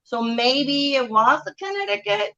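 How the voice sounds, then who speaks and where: A woman's voice: sits high, expressive, unhurried, a bit bright. A middle-aged woman with a Puerto Rican accent, speaking casually as an interview guest over a video call. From Puerto Rico. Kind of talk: casual personal recollection. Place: outdoor family courtyard. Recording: dull lo-fi video call audio.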